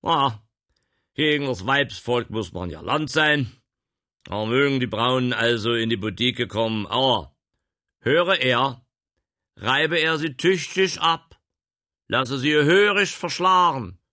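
A man voicing a domineering older male grain dealer, loud, bossy and blustering. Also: mid-range voice, takes it slowly, no echo, clean studio sound, pitched above the performer's own voice.

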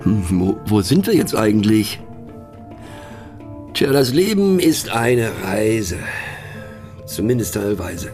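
A man's voice: on the low side, sing-song, takes it slowly, long pauses, a little mellow, faint music behind. A man playing a pensive, mocking narrator in a satirical radio play monologue, speaking ironically with conversational, questioning asides. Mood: musing, wry. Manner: ironic, rhetorical, punctuated with little questioning tags. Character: wry, pensive, mocking male narrator musing on words.

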